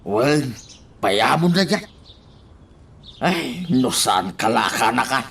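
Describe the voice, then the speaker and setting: A man acting as a wary, complaining character in an Ilocano radio drama, with a creaky voice and an Ilocano accent. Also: medium pitch, big pitch swings, slow pace, a bit bright, exaggerated voice. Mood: wary, alarmed. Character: grumbling, wary man asked to do dirty work. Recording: faint background noise.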